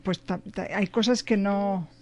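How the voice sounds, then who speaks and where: A middle-aged woman from Spain speaks with a Spanish accent, even-pitched and easygoing. She talks in a relaxed group conversation, thinking aloud and often tagging her points with a questioning "¿no?". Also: unhurried. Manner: reflective, frequent "¿no?" and "ujú". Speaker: Spanish teacher. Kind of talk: casual panel chat.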